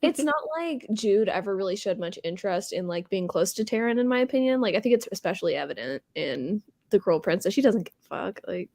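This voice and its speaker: A woman's voice: mid-range voice, animated, brisk, few pauses, darkish tone, no echo. A woman co-hosting a book chat, speaking informally and candidly in a reflective, conversational way. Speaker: co-host of a book readalong.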